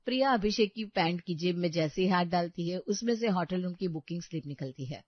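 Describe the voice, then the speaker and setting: A woman giving expressive, scripted narration for a cartoon moral story. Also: fairly low pitch, fairly quick, dry recording. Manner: expressive, dramatic. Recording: dry dull lo-fi studio.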